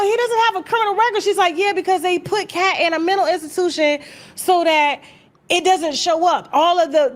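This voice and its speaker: An American woman telling gossip in a casual, animated, story-like way, brash and sassy, swearing freely and acting out conversations. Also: very high-pitched. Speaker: gossip vlogger and host.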